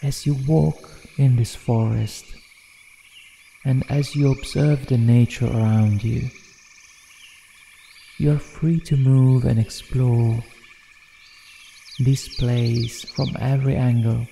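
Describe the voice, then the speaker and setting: A man narrating a guided meditation in a soothing, measured manner with deliberate pauses. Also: mid-range voice, fairly even intonation, gravelly, dark tone, a little hiss or hum. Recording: long reverb, New-age music behind. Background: New-age music.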